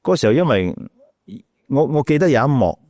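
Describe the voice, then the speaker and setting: A man's voice: medium pitch, very animated, brisk, darkish tone, dry recording, studio quality. A middle-aged man with a Malaysian Cantonese accent telling stories casually as an interview guest, acting out quotes and repeating words as he goes. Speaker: radio DJ and podcast guest.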